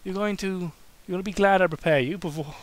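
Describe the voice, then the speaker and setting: A man's voice: sits high, fast talker, pitched above the performer's own voice, natural voice. A man voicing a big, tall masked man, smug and sarcastic, coaxing his partner in an amateur story reading. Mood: firm, suggestive. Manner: sarcastic, cooing, suggestive.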